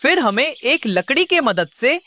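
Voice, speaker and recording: A woman's voice: high-pitched, sing-song, a little slow, pushed and bright, slight background noise, heavily acted. A woman voicing a kindly uncle pigeon who teaches patiently in a children's cartoon. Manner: instructive, patient.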